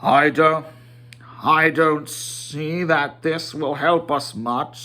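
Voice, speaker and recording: A man's voice: a little high, fairly even intonation, slow, pushed and bright. A man voicing a proud, doubting male dwarf leader in a fantasy storybook, with a self-important manner. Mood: disappointed.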